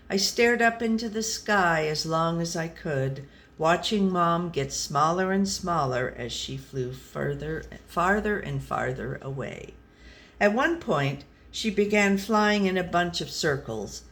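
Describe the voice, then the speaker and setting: Slow, deadpan storybook pet read by a woman. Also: on the low side, full character voice. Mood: dry, rueful. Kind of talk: scripted storybook narration.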